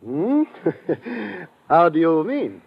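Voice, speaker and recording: A slightly noisy, dull vintage radio recording of a foreign police inspector. His voice is fairly high and very mobile. He is polite and formal, choosing measured words. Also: very animated, vocal fry, exaggerated voice. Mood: courteous. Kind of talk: 1950s radio drama acting. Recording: dull old radio recording, faint noise.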